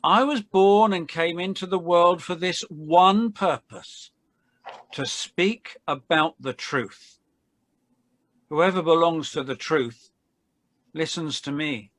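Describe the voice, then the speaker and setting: A woman reading the part of a calm, solemn Jesus in a dramatized Bible reading, slow and deliberate. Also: on the low side, no echo. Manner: slow, deliberate, measured delivery. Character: Jesus, calm, solemn man under arrest and questioning.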